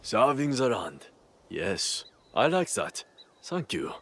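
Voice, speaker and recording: An actor playing a big, strong, humble and friendly retainer in a video game, speaking deliberately in short weighty phrases. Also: male voice, medium pitch, sing-song, fairly bright tone, heavily acted. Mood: appreciative. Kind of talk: video game cutscene acting.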